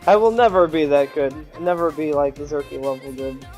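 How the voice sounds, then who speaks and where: A young man hosting a casual gaming stream, joking and self-mocking, with a reasonably high-pitched voice, talking into a home desktop mic. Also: fairly quick, darkish tone. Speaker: stream host. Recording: background music.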